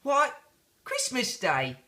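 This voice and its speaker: An eager young boy of the street, put on by a male storyteller, straight out of a storybook. The voice is very high and bright, with a chirpy, exclaiming delivery. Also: huge pitch swings, slow pace, full character voice. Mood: eager surprise.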